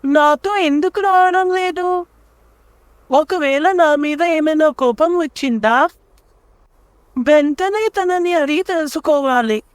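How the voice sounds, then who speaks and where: A woman's voice: high-pitched, takes it slowly, dry recording, pitched above the performer's own voice. A woman voicing a caring young male squirrel in a children's animal story, earnest and questioning. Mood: worried.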